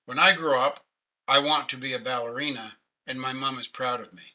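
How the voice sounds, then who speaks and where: Bright-voiced older Canadian man teaching. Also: mid-range voice, fairly even intonation, fairly quick, rough recording. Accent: Canadian English. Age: 60s. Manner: patient, conversational, self-deprecating. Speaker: webinar presenter. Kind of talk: casual online teaching. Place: home office. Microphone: webcam video call.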